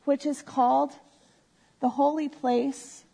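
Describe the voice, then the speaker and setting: A woman teaching a Bible lesson to a live group on a microphone, explaining slowly and step by step and often checking in with "right?". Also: high-pitched, flat delivery, creaky. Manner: says she has a loud voice. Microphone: handheld or stand mic.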